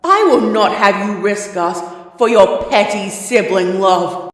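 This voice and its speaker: Guy doing a high shrieky fire demon lady, snarly and panicky, huge echoey reverb on it. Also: takes it slowly. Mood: fearful insistent. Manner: screaming, snarling, then pleading whine. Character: raging fiery female monster spirit, fanatical, panicky. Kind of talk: fanfic audiobook character voice.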